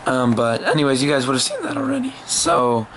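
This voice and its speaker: A hoarse young man who has lost his voice, rambling casually to a camera held at arm's length in a small bedroom, with lots of ums and restarts. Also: mid-range voice, wildly swooping pitch, fairly quick, a bit bright, slight background noise. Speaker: vlogger. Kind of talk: casual vlog talk to camera.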